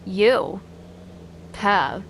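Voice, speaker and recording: A woman voicing an excitable, bossy storybook witch, with exclamatory, emphatic delivery. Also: medium pitch, very slow, creaky, slight background noise, heavily acted, low-quality recording. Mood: cross, disgusted. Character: excitable witch, fussy and bossy pet owner.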